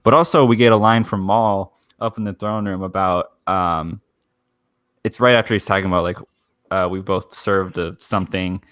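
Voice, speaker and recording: A man co-hosting a fan podcast, talking casually in a halting, rambling way as he searches for words. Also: sits low, fairly even intonation, a bit bright, no room sound, studio quality. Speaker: podcast co-host.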